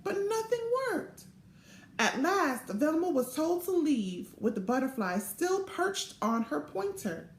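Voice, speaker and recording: A middle-aged woman reads a children's storybook with expressive care. Her voice sits high and swings widely in pitch. The room adds a noticeable echo. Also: slow. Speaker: volunteer storybook reader. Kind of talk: read-aloud children's storybook narration. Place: small indoor room or home office. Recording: roomy, echoing home webcam recording.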